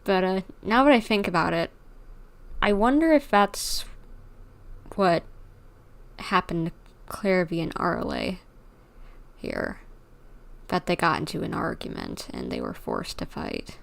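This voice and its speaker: A woman streams a game playthrough in a lowish voice with plenty of vocal fry. Her commentary is casual, thinking aloud and speculating as she goes. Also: huge pitch swings, a little slow, long pauses.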